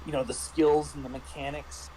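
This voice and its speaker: Higher-voiced man chatting over background noise. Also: flat delivery, moderate pace, mellow, low-quality recording. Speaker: tabletop game master and guest reviewer. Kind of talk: casual roundtable review.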